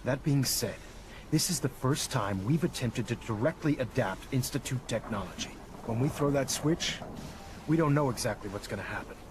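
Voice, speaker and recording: A man's voice: medium pitch, lively intonation, lightly acted. Stern military commander, noisy background man acting. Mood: solemn, commanding. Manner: formal, authoritative, deliberate oratory. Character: stern commanding male military leader, zealous and measured. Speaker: military commander briefing a soldier. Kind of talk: video game cutscene acting. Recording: noisy game audio with engine ambience.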